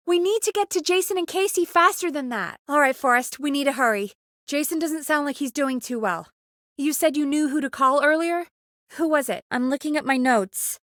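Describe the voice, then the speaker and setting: A woman's voice: high-pitched, a bit bright, no room sound, studio quality. A woman playing an earnest, urgent radio producer in a video game, conversational and pressing in naturalistic dialogue. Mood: urgent, worried.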